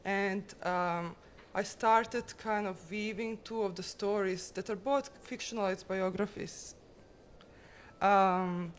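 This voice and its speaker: A woman's voice: medium pitch, fairly even intonation, slow pace. Woman artist talking in a noisy room. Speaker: artist presenting their own project. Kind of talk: informal artist talk to an audience. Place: exhibition room with a seated audience. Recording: noisy room with ambient sound.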